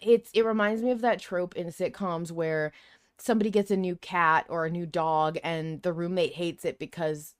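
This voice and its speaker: A woman's voice: on the low side, steady tone, moderate pace, clear, resonant tone, no room sound, studio quality. A woman hosting a podcast, chatting casually and musing informally about a story. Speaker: podcast host. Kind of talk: casual discussion of a fiction podcast.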